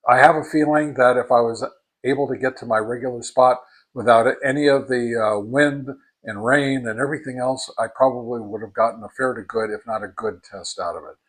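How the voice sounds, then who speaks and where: A man giving a casual spoken product review in a halting, deliberate way, repeating words for emphasis as a hobbyist reviewer. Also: medium pitch, slightly rough, low-quality recording.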